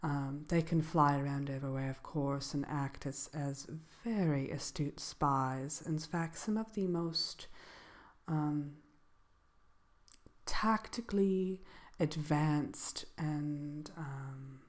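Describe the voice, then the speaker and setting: A woman playing a composed dark sorceress who gives a soft-spoken ASMR seminar in a hesitant, measured, genteel manner. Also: low-pitched. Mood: composed, matter-of-fact. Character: composed dark sorceress lecturing on magical animal companions. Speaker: seminar presenter.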